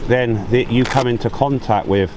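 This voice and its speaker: A man's voice: medium pitch, normal speed. A British man in an insistent, confrontational street debate, cutting in and repeating himself as he presses his points. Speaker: citizen activist filming a street encounter. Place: outdoors on an urban street. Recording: noisy outdoor street with traffic.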